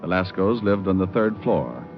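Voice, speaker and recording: An actor playing a suave, sardonic private detective, narrating and talking in a noir radio drama. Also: male voice, deep voice, lively intonation, normal speed. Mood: wry, sardonic. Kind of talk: first-person noir radio narration and dialogue. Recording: muffled old radio recording with music. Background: music.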